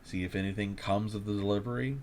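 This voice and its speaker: A low male voice gives a shaken, confessional monologue as a delivery courier, acted out by a man in a horror audio drama. The telling is dramatic and eerie, like a personal account. Clear noise and ambience sit behind the voice. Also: steady tone, fast talker, darkish tone, close to an everyday voice. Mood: resigned, unsettled.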